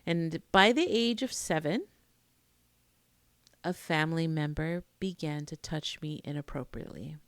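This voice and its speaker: A woman in her late forties with an American accent, telling a personal story to camera in an expressive way with pauses for emphasis. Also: low-pitched, no room sound. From Queens, New York, United States. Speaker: vlogger, emotional intimacy coach.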